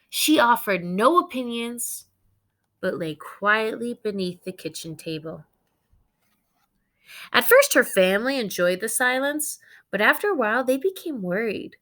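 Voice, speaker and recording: Woman, teacher reading aloud to young students. Expressive and instructive, unhurried pace. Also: a little high. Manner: expressive, instructive, addressing children. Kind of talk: read-aloud storytelling for students.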